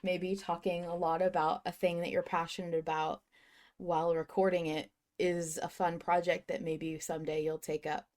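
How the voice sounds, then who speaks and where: A woman's voice: lowish voice, little pitch movement, moderate pace, darkish tone. A woman hosting a fan podcast in casual, effusive, enthusiastic chat. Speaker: podcast host.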